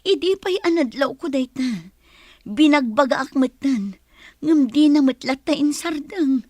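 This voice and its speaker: High-pitched young Filipino fiancée, distressed, pleading, tearful, radio drama, close dry sound. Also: female voice, lively intonation, a little slow, darkish tone, no echo. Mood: anxious. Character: distressed young woman pleading over her gambling-addicted fiancé. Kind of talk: Philippine radio drama acting.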